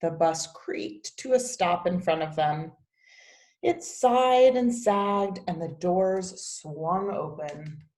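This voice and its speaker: A woman reading a children's picture book aloud as a teacher, with expressive storybook narration. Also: fairly low pitch, takes it slowly. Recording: echoey, roomy sound.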